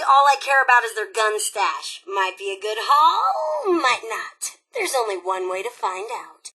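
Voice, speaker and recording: A woman voicing a cheerful, bloodthirsty female raider robot in a video game, brash, sarcastic and excitable, with a sharp, forceful delivery. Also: high voice, heavily acted, poor audio. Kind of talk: animated video game character acting.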